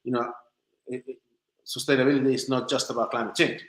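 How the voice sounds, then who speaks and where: A man's voice: mid-range voice, flat delivery, fast. Echoey man, searching for words. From Chile and southern Spain. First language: Spanish. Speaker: interview guest, documentary maker. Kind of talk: informal conversational interview answers. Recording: roomy, echoing sound.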